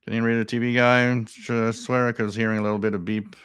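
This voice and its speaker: A man hosting a live radio stream, thinking aloud in casual commentary, repeating himself and breaking into excited bursts over strange signals. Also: mid-range voice, fast, clear, resonant tone.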